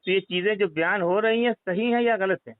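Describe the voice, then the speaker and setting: A man's voice: sits high, a little fast, smooth, no echo. A man on a recorded phone call, arguing about religion in an insistent way and pressing with repeated leading questions. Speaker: caller questioning a religious group member. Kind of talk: phone conversation, religious argument. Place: phone line.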